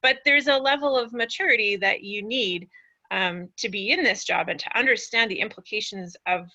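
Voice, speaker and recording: A woman's voice: mid-range voice, bright, forceful tone. A woman giving casual, unscripted answers on a panel. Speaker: air traffic controller, panelist. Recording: dull lo-fi webinar audio.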